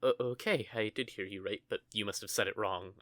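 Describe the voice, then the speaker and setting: A man's voice: lowish voice, fairly quick, fairly bright tone, dry recording, natural voice. A young man voicing a nervous, stuttering young male witch in an audio roleplay, recorded close and dry. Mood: giddy. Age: young adult. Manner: stammering, flustered.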